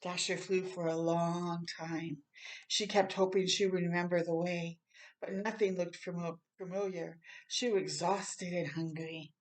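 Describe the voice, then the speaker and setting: A woman reading a children's story aloud expressively, with animated asides and questions to young listeners. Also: on the low side, unhurried.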